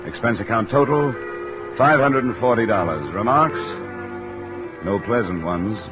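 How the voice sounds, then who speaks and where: An actor playing a world-weary insurance investigator in hard-boiled old radio drama, terse, matter-of-fact and probing. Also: male voice, on the low side, unhurried, highs cut off, over music, natural voice. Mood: weary, dry.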